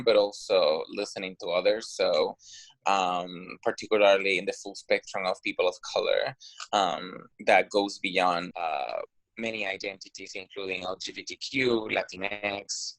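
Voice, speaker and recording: Earnest man, low and dry. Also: a bit bright, no room sound, low-quality recording. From Puerto Rico. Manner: hesitant, many fillers and restarts, earnest. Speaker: guest, political candidate. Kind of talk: interview answers.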